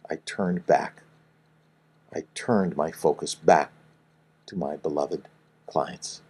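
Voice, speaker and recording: Low, husky man reading gently. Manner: slow, soothing, earnest delivery with falling phrase endings. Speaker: fertility doctor reading his book. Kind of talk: reading aloud from his own book.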